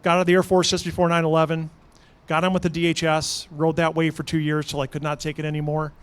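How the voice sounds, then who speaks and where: A man introducing himself on a conference panel in a brisk, matter-of-fact way. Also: on the high side, completely flat delivery, clear, resonant tone. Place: conference hall stage.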